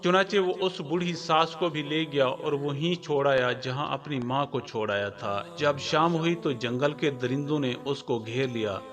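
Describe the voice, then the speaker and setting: A man hosting and narrating a scripted moral story in a formal, measured storytelling manner. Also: mid-range voice. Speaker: narrator and channel host. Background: background music.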